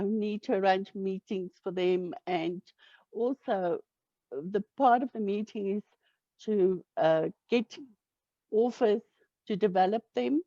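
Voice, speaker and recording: A South African woman whose first language is Afrikaans, talking hesitantly with many pauses and fillers as an interview guest. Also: mid-range voice, dark tone, no room sound. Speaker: romance author guest. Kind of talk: casual interview. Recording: dull lo-fi audio.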